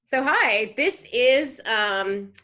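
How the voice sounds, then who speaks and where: Bright-voiced lady hosting a podcast on a video call, giggly and casual. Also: a little high, animated, very slow. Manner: upbeat, giggly, informal. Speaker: podcast host.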